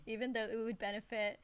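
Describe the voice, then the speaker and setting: A woman's voice: a little high, a bit bright, dry recording. A woman chatting casually and playfully as she live-streams a game.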